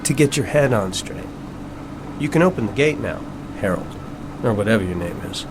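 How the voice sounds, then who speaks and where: A man's voice: lowish voice, animated, moderate pace, background noise, natural voice. An actor playing an American businessman in his thirties, a family man who gets emphatic and indignant when confused, in an audio drama. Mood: wry, easygoing. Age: 30s.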